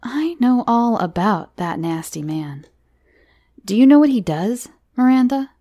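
A woman's voice: fairly high pitch, sing-song, unhurried, dark tone, voice pushed up. A woman voicing a sly, mocking man who teases in a mock-sinister way, in a story reading. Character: teasing, sly man, mocking adult lover of mother.

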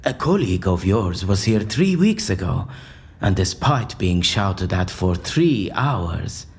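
An actor playing an eccentric, unflappable surgeon in an audio drama, with a formal, theatrical and dryly dismissive manner. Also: male voice, sits low, very animated, slow, exaggerated voice. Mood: stubborn, dismissive.

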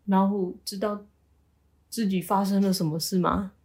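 A woman's voice: mid-range voice, steady tone, normal speed, a little mellow, husky. A woman improvising a bedtime story for a child, prompting with questions and repeating key phrases. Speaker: storyteller, parent.

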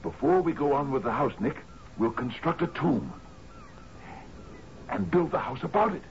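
A man's voice: a little high, animated, normal speed, vocal fry. An actor in a radio drama playing an expansive, dreamy sea captain in his fifties, musing theatrically with questioning little grunts. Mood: excited, resolute. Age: 50s. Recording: dull, noisy 1970s radio recording.

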